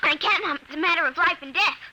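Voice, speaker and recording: A woman playing an excitable, earnest little girl in a 1950s radio drama, speaking with breathless, childlike insistence. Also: very high voice, quick pace. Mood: impatient. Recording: noisy vintage 1950 radio recording.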